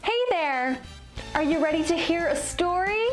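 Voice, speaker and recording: High-pitched woman hosting a kids' channel. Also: lively intonation, unhurried. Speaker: children's channel host. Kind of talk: children's show presenting to camera. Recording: music behind the voice.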